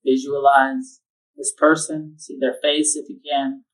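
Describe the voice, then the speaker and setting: Slow, calm man guiding a meditation. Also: mid-range voice, distant mic, low-quality recording. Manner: slow, calm instructions with long pauses. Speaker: meditation teacher. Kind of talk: guided meditation.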